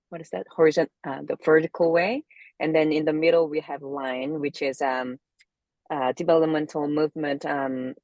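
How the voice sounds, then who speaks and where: A woman's voice: sits low, a little mellow, no room sound, muffled recording. A woman from South Korea with a Korean accent, speaking reflectively as an interview guest and often searching for words. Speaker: interview guest, somatic movement therapist.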